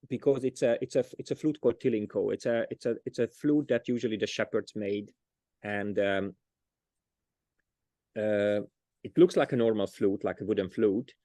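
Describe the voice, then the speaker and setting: A man's voice: lowish voice, dark tone, no echo. A man with a Hungarian accent, a storyteller being interviewed, talking casually and often restarting as he searches for words. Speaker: storyteller, guest.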